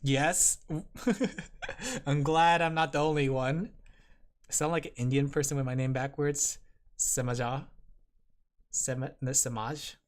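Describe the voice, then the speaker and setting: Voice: medium pitch, steady tone, a little slow, pauses often. A vtuber streamer who giggles often and chats playfully with viewers while drawing. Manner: giggly, playful, talks to chat. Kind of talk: casual livestream chat while drawing.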